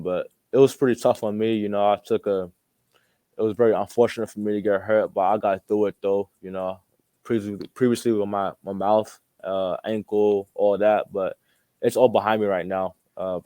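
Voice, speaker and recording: A man's voice: fairly low pitch, dark tone, no echo. A young man from Australia, a college athlete being interviewed, talking casually with informal, repetitive phrasing. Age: young adult. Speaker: college basketball player, interview guest.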